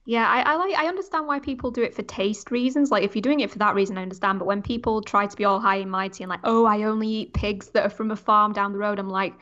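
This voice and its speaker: A woman's voice: mid-range voice, quick pace, few pauses, fairly bright tone, lo-fi sound. A woman chatting casually and giving her opinions as a podcast co-host. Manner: informal, opinionated. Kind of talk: casual chat.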